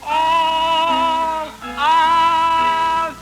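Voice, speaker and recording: A man's voice: extremely high pitch, monotone, extremely slow, full character voice, rough recording. A man playing a scrawny, soulful crooner singing on a 1940s radio comedy. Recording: 1940s radio recording with music.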